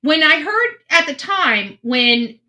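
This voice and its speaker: Earnest woman with a bright voice. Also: sits high, very animated, takes it slowly. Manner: earnest and emphatic, with repeated restarts. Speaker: true crime commentator. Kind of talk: casual spoken commentary.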